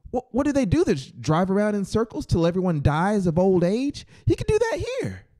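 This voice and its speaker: A man's voice: sits high, wildly swooping pitch, a little fast, dark tone, voice pushed up. A man voicing an anxious, panicky young boy in an audiobook story, stammering and whimpering. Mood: panicked, defiant.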